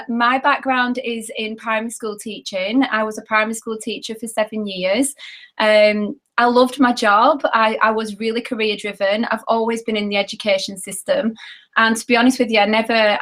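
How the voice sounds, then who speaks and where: A British woman in her thirties with a hoarse, nearly lost voice, telling her story in a chatty, candid way. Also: fairly high pitch, steady tone, moderate pace, a bit bright. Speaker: network marketing seller sharing her story.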